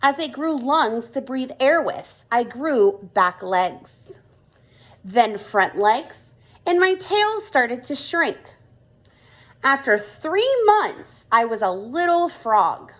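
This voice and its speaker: A woman's voice: high-pitched, very animated, slow, full character voice. A woman voicing a friendly grown-up frog in a children's storybook, explaining things patiently and with emphasis. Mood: enthusiastic, explaining. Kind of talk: children's storybook read-aloud dialogue.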